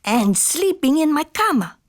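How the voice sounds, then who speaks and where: A woman voicing small, excitable chihuahua buddies who complain in indignant exclamations. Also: high voice, unhurried, dry recording, clean studio sound, voice pushed up.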